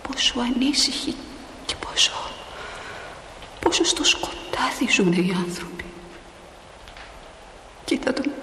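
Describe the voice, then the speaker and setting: An actress playing a newly dead young mother in a stage drama, restless, tender and plaintive, often hushed and breathy. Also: a little high, close to an everyday voice. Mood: wistful, hushed. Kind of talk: emotional stage drama acting. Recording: noisy, echoing hall recording of a play.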